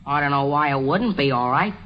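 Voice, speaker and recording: A man's voice: fairly high pitch, lively intonation, fairly quick, slight background noise, close to an everyday voice. An actor playing an eager, whiny teenage boy, the hero's pal, in a 1940s radio comedy. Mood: eager, incredulous. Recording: muffled old radio broadcast recording.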